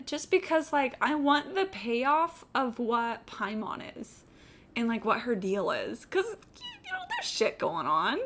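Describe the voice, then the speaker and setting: A woman's voice: a little high, a bit bright. A woman giving casual, informal live commentary on a game she is playing, with easy swearing. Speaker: game streamer. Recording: game music behind voice. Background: video game music and dialogue.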